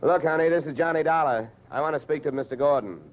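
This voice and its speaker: An actor with an American accent playing a hard-boiled, sardonic insurance investigator in vintage radio drama, delivering deadpan wisecracks. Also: male voice, fairly high pitch, fast, close to an everyday voice. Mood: brisk, wry. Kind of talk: 1940s-50s radio detective drama, narration and dialogue. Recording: dull vintage radio recording with music.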